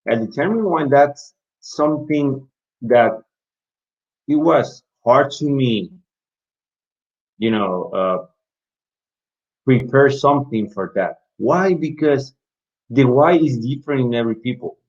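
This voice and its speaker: A man's voice: mid-range voice, slow pace, pauses often, a little mellow. Man with Latin American Spanish accent on a dull call. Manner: repetitive restarts, asks and answers his own questions. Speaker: basketball referee speaker in an online session. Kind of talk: informal presentation to referees. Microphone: video call.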